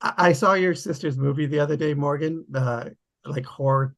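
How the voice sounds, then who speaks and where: A man chatting casually in a group about a script, in a halting, blunt way that often restarts mid-thought. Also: a little high. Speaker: table read participant.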